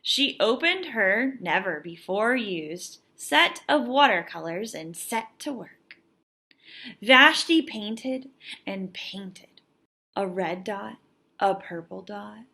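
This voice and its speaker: Expressive young woman reading to children, slow-paced. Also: medium pitch, gravelly. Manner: expressive, emphatic. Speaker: teacher reading aloud. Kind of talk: picture-book read-aloud for children with teaching asides.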